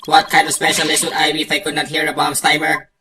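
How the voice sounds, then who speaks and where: A woman's voice: deep voice, completely flat delivery, fast, echoey room, recorded from a distance, noisy background, lightly acted. A woman voicing a smug, condescending male bomb disposal expert, pompous and precise, in an amateur game dub. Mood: smug, offended. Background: game music.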